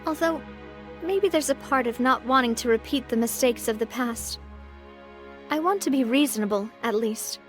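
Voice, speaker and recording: A woman playing a refined, warm-hearted young leader in a video game, talking in a gentle, earnest, thoughtful way. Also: on the high side, moderate pace, lightly acted. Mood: somber. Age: young adult. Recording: background music.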